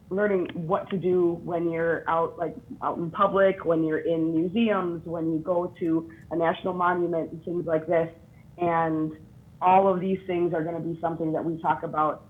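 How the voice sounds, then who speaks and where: A man's voice: a little high, slightly rough. A man, a teacher, giving a casual, loosely phrased presentation about a new club at a meeting. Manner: loose, filler-heavy phrasing. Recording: tinny phone-like line.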